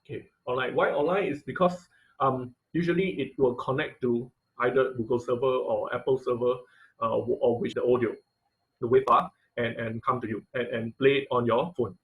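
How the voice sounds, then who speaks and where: A man giving an informal technical lecture as an online instructor, explaining haltingly with frequent repetition and non-native English phrasing. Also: mid-range voice, steady tone, phone-quality sound. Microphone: online video call.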